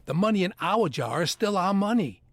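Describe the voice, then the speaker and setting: A man's voice: sits high, lively intonation, a little fast, no room sound. A man playing an older retired mobster in a comedic audio drama, nagging and pointed, with a mock-polite menace. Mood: exasperated.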